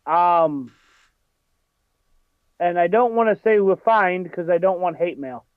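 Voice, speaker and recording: A man's voice: high-pitched, takes it slowly, clean tone, dry recording, phone-quality sound. A man co-hosting a casual podcast, choosing his words carefully and hedging. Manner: careful, hedging word choice. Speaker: podcast co-host.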